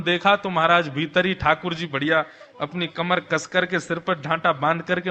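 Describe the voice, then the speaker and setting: High, bright male storyteller in a reverberant hall. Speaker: devotional storyteller.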